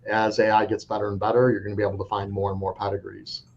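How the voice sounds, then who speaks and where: A man's voice: lowish voice, monotone, fast talker, crowd noise. A man speaking as a coin dealer guest in an interview, frank and rambling, often restarting his sentences. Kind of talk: casual interview talk. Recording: dull lo-fi audio with faint noise.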